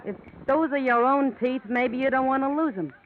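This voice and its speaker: Actress as a tough radio-drama dame, tinny sound. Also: female voice, high voice, moderate pace, lightly acted. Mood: sardonic, guarded. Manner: sardonic, slangy, tough. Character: wary, tough-talking young barroom brunette dame, sardonic and bargaining. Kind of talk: old-time radio detective drama acting. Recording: tinny, faintly noisy old radio recording.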